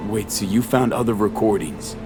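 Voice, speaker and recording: Actor as male mercenary, cinematic game: very low pitch, steady, plain-spoken, few words, music underneath. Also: moderate pace, natural voice. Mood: concerned, questioning. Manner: terse, direct. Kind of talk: cinematic video game acting. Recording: music behind dialogue.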